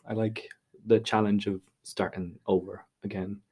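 A man's voice: fairly low pitch, flat delivery, a little slow, darkish tone, slightly rough. A young man from Spain with a slight Irish accent, chatting casually and telling stories as a podcast guest. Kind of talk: casual conversational storytelling.

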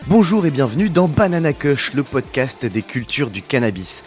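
A man co-hosting a podcast, reading scripted narration with a lively, wry delivery. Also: mid-range voice, normal speed, faint music behind. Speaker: podcast co-host.

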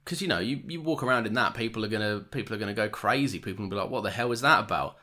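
A man's voice: lowish voice, rapid-fire. A man co-hosting a casual podcast, laughing often and acting out characters' lines as he tells the story. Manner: laughing, animated storyteller who acts out lines. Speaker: podcast co-host. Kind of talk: casual chat.

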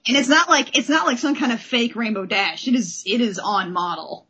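A woman's voice: a little high, normal speed, pushed and bright, breathy edge. A woman co-hosting a podcast, chatting casually and enthusiastically about comics. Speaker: podcast host. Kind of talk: casual comic book discussion.

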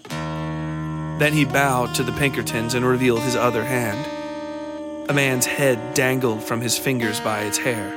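A man narrating a scripted dark western story for a fiction podcast. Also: mid-range voice.

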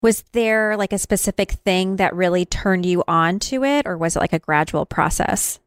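A woman's voice: mid-range voice, normal speed, smooth, dry recording, clean studio sound. A woman hosting a podcast in an informal, chatty and self-deprecating way. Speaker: podcast host. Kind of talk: chatty podcast intro and interview.

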